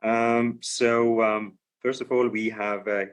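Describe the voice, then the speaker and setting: A man hosting a video call breakout session, relaxed and full of fillers. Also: mid-range voice, takes it slowly, clear, resonant tone. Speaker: session host. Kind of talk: casual video call moderation.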